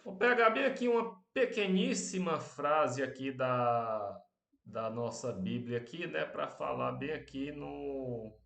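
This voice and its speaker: A Brazilian man teaching an online class, speaking softly because he has a cold and a sore throat. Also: mid-range voice, creaky, echoey room. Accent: Brazilian Portuguese. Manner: speaks softly, slow and unhurried, many tag questions.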